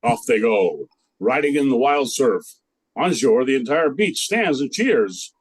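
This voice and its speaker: A man's voice: mid-range voice, animated, measured pace, breathy edge, dry recording. An older man narrating a scripted radio play in a storytelling style.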